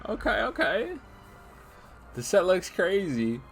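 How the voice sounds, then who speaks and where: A man's voice: sits high, wildly swooping pitch, takes it slowly, faint music behind. A young man giving casual, slangy, hyped reaction commentary as a streamer over a game. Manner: hype, slangy interjections.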